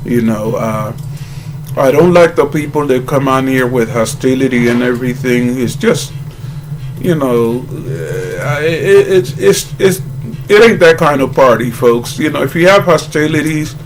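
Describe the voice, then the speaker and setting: A man talking casually to his channel's viewers, rambling with halting restarts and earnest greetings. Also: mid-range voice, animated, noisy background. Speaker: YouTuber.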